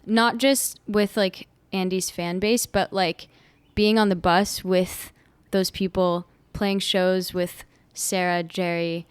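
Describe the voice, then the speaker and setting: Bubbly young woman, smooth dry voice. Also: lowish voice, fairly even intonation, slow pace, no room sound. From Nashville, United States. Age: 20s. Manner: bubbly, laughs often, loose and upbeat. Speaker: singer-songwriter guest. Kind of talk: casual interview chat.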